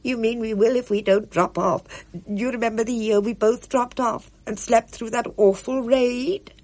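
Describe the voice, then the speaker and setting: A woman voicing a cheerful, excitable little older woman who chatters merrily in a high, fluttery voice. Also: moderate pace, natural voice. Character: chirpy little red-faced older woman.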